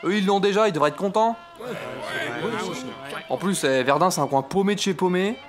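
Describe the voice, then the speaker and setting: An actor playing a pompous, easily exasperated French army captain of the First World War in a comic audio drama, speaking in a theatrical, declamatory way. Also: male voice, high-pitched, normal speed, soft background music, natural voice. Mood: indignant.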